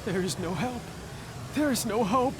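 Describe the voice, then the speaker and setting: Wailing old doomsayer, high voice, fatalistic, game cutscene, noisy background. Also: male voice, lively intonation, moderate pace. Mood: despairing. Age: older. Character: despairing old male prophet of doom. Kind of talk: scripted video game cutscene acting.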